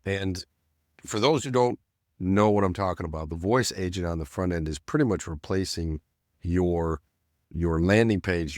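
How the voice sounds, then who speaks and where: A middle-aged man with a slightly nasal voice from a cold, explaining a software setup in a loose, rambling way full of "you know" and restarts. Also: low-pitched, dry recording, clean studio sound. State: getting over a cold. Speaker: self-storage business owner teaching automation. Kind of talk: screen-share tutorial narration.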